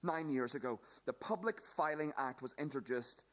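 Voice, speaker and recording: A man's voice: medium pitch, moderate pace, lightly acted. Sardonic male precinct detective, played by a man, radio play, formal and pedantic, dry delivery. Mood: urgent. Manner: formal, clipped wording, dryly teasing.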